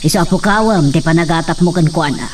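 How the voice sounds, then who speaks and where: A woman's voice: sits low, fast talker, distant mic, slight background noise, natural voice. A woman playing an earnest mother who gives her son instructions in a radio drama. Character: grave mother of the young master.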